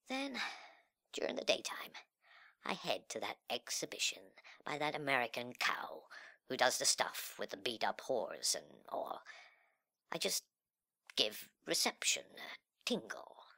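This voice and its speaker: A man performing a dramatic monologue as a sullen, cocky, nihilistic young slacker, with breathy, slangy, sneering delivery that swings between muttering and outbursts. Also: mid-range voice, bright, forceful tone, heavily acted. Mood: cool, scheming. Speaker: reader of a literary monologue. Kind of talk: dramatic first-person monologue in slangy speech.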